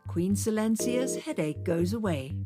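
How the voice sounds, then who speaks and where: A woman narrating a children's story in an expressive, measured storytelling style. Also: mid-range voice, over loud music.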